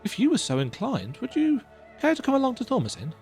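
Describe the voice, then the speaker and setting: A man's voice: very high voice, huge pitch swings, fast talker, gravelly, a little mellow, faint music behind, voice pushed up, heavily acted. A man voicing an eager, polite bespectacled engineer who speaks in an effusive, formal way. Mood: excited. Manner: effusive, formal, breathless delight.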